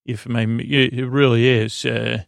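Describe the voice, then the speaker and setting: Creaky-voiced man rambling gently at bedtime. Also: medium pitch, level tone, no room sound, clean studio sound. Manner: meandering, halting, repetitive, goofy. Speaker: podcast host.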